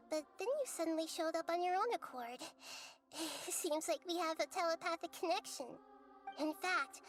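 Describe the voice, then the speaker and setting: Young girl deity, high voice, gentle, wise, measured, earnest, articulate, video game acting, faint music behind. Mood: calm, friendly.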